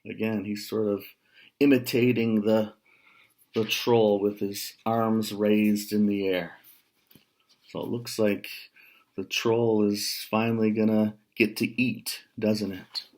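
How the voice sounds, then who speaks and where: An American man in his fifties tells a children's story in a fairly low voice. He goes very slowly, pausing for casual, explanatory asides to his young listeners. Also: level tone, darkish tone. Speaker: storyteller, writer. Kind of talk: children's picture book read-aloud with casual asides. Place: small room at home.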